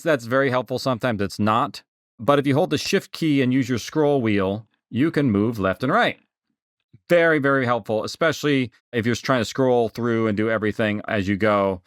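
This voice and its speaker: A man narrating a casual software tutorial as an instructor, informally explaining and thinking aloud as he goes. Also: mid-range voice, no echo, studio quality.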